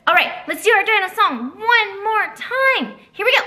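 Woman, children's librarian, very high bright voice, animated gasps, webcam in small library room. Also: moderate pace. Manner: animated, exaggerated gasps and expressive gestures. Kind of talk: children's storytime reading and singing.

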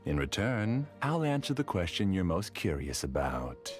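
An actor playing a formal, self-assured, obsessive scholar who rules a dream world in an anime game. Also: male voice, sits low, sing-song, normal speed, music in the background. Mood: amused, admiring. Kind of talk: anime video game voice acting.

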